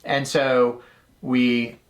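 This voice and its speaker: A man's voice: mid-range voice, drawn-out words. A middle-aged man co-hosting a podcast, telling a story in casual chat and slipping into a livelier voice when he retells what people said. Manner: relaxed, retells conversations in a livelier voice. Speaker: podcast co-host.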